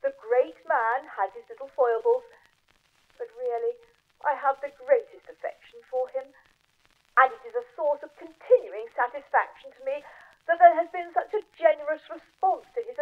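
Tinny, phone-like genteel Englishwoman acted by a woman. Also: high voice. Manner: measured, formal, genteel. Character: genteel English female mystery author, fondly formal. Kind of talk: 1940s radio address. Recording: tinny, phone-like transatlantic radio link.

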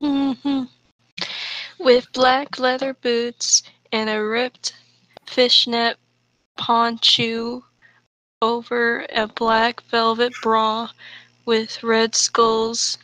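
A woman reading aloud casually and comedically, halting and stumbling over words. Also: a little high, little pitch movement, pushed and bright, dry recording, rough recording. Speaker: co-host of a group fanfiction reading.

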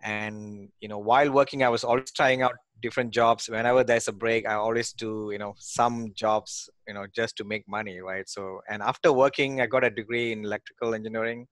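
A man in his forties from Malaysia speaks in a fairly low voice over a headset on a video call. The sound is dry and close, with no room around it. He talks hesitantly, with many fillers and restarts, as an interview guest. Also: steady tone, a little fast, few pauses, fairly bright tone, no echo. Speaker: guest, real estate investor. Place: home office with bookshelves.

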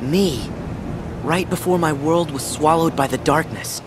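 A man's voice: fairly high pitch, unhurried, background noise, lightly acted. An actor playing an earnest, plucky teenage boy hero in a video game, bewildered yet defiant. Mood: confused. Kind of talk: video game cutscene acting.

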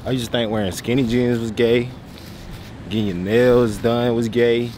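A young man with an Atlanta Southern accent, chatting casually to camera in slangy banter on a phone held low. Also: fairly even intonation, normal speed, a little mellow. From Atlanta, Georgia. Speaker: vlogger. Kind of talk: casual vlog chat. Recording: phone recording with clear background noise.

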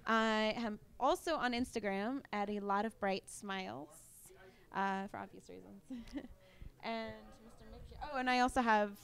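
A young woman chats as a podcast guest, bubbly and frank, plugging her work without a pause. Her voice sits slightly high and she speaks into a handheld mic in a hotel room. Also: creaky, a bit bright. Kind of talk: casual interview chat.

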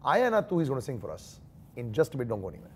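A man's voice: mid-range voice, wildly swooping pitch, rapid-fire, faint music behind. A man in his thirties hosting an entertainment interview, playful and jokey, switching between English and Hindi. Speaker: interview host. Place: small dark studio set, on a sofa.

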